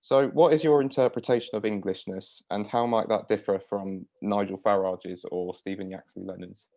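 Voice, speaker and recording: Low-voiced male interviewer, slightly muffled. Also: fairly even intonation, moderate pace, creaky. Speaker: interviewer, host. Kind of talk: polite, semi-formal interview questioning. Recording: slightly dull, lo-fi audio.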